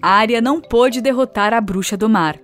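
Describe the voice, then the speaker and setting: Higher-pitched woman narrating a children's story. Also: moderate pace, soft background music.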